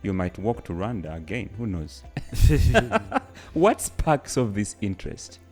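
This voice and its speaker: A man's voice: lowish voice, huge pitch swings, slow pace, darkish tone, husky, soft background music. A man hosting a podcast, asking curious questions and prompting his guest with frequent murmurs. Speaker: podcast host. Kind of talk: interview questions.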